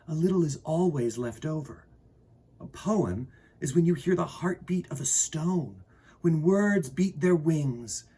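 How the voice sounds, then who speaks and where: A man's voice: a little high, a little mellow, breathy edge, pitched above the performer's own voice, exaggerated voice. A man voicing an earnest, curious young boy in a storybook, full of wonder.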